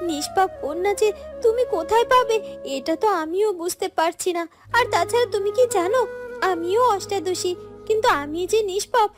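A woman voicing an innocent, kind-hearted teenage girl in a cartoon fairy tale, speaking in an earnest, plaintive way. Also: very high-pitched. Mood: gentle musing. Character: innocent, kind-hearted eighteen-year-old girl, sorrowful and tender.

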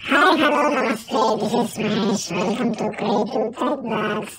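A tiny alien greeting listeners. The voice is squeaky high and drawn out, with a processed sci-fi sound. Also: male voice, very slow, a bit bright, full character voice, rough recording. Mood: plain greeting. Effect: alien voice-changer filter.

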